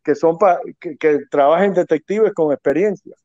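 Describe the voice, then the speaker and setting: A middle-aged man talks loosely about his rescue work, circling back and slipping into English terms. His voice is mellow and set a little high. The line sounds dull and dry. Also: moderate pace, dry recording. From Quebec, Canada, raised in Miami. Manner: rambling, restarts, mixes in English words. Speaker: child rescue investigator, guest. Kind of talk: casual interview talk. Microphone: phone or call line.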